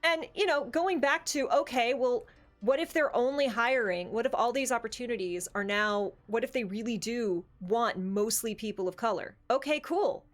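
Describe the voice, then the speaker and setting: A woman's voice: a little high, moderate pace, soft background music. An American woman speaking emphatically and casually, sharing opinions as a podcast co-host. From Bible Belt, United States.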